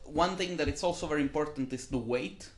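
A male podcast host with a slightly high-pitched voice. He chats casually and informally, bantering and dropping the occasional mild curse. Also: a little fast. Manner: informal, mildly swearing banter.

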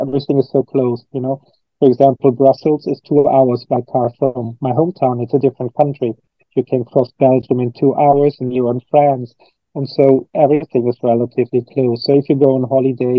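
A man's voice: medium pitch, no room sound, muffled recording. Man, reflective interview answers. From Germany. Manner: thoughtful, rambling with frequent restarts and fillers. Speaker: coach and trainer.

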